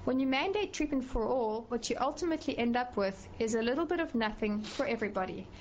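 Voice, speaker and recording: A middle-aged woman with a South African accent, a professor testifying before a committee in a measured way, with frequent fillers and restarts. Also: a little high, animated, lo-fi sound, a little hiss or hum. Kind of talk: formal testimony.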